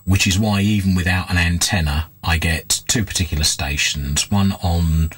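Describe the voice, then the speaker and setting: A middle-aged man with a low, rough-edged voice gives a casual demonstration. He explains things in an unhurried, hands-on way, with a husky grain to his speech. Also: steady tone, a bit bright. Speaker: vlogger restoring vintage radios.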